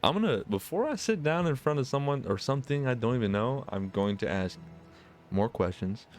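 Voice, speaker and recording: A man's voice: mid-range voice, lively intonation, fairly quick. A man reading a visual novel aloud and reacting casually with informal, self-deprecating commentary. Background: music.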